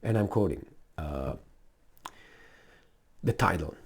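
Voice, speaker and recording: Low-voiced Romanian man giving blunt commentary. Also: animated, slow pace, vocal fry, breathy edge. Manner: blunt, emphatic, rambling with asides and repetition. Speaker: political commentator. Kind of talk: casual unscripted news commentary.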